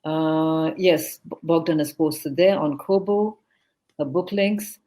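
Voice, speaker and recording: An event host introducing a speaker over a video call. The voice sits at a middle pitch. The delivery is effusive and loose, full of fillers and restarts. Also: takes it slowly, dark tone. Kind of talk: live online event introduction.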